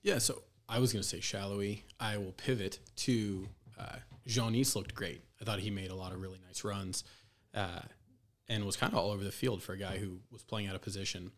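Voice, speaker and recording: A man's voice: on the low side, steady tone, fairly quick. A man on a podcast panel giving casual sports analysis into a podcast microphone.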